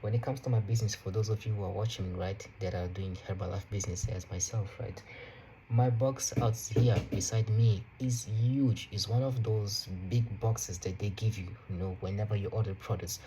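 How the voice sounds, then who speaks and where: Young man, about twenty, Portuguese native speaker; mellow, relaxed, motivational vlog to camera. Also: fairly low pitch, slight background noise, rough recording. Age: young adult. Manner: relaxed, unhurried, repeats phrases, fillers like "you know" and "right". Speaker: vlogger. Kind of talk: casual motivational talk to camera. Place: his room.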